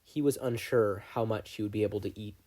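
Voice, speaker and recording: A man's voice: lowish voice, steady tone, brisk, darkish tone. A man reading scripted fiction as an audiobook narrator, telling a story. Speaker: fan fiction audiobook reader.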